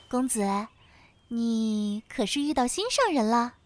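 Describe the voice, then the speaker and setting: A woman's voice: a little high, no room sound, full character voice. An actress playing a poised, perceptive young lady with a formal, knowing manner in an audio drama. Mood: teasing.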